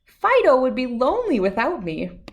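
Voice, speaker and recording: A woman's voice: sits high, wildly swooping pitch, normal speed, pitched above the performer's own voice, exaggerated voice. A woman voicing an earnest, eager little girl in a storybook, insistent and devoted. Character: earnest, devoted little girl who loves her tree.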